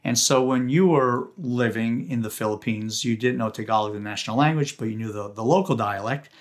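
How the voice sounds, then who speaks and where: A man whose first language is Ukrainian, hosting a casual podcast chat in an earnest, halting way with frequent restarts. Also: mid-range voice. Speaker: podcast host. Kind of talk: casual conversational interview.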